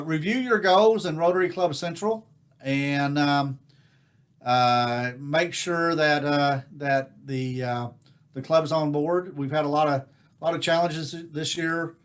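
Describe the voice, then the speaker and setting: Folksy American man, halting and rambling. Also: fairly high pitch, clean tone. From United States. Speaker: Rotary district governor hosting online club meeting. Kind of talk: informal announcements at a virtual meeting. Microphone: video call.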